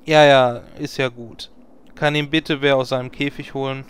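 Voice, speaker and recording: A man's voice: mid-range voice, steady tone, normal speed, smooth, natural voice. A man playing a jaded, sarcastic gamer hero in a parody audio drama, grumbling wearily. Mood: exasperated, sarcastic. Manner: weary, sardonic grumbling.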